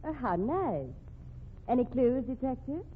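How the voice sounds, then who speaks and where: An actress playing a cool, sardonic, self-possessed widow in a radio crime drama, arch and unruffled. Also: female voice, high voice, big pitch swings, measured pace. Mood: breezy, sardonic. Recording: noisy, tinny old radio recording.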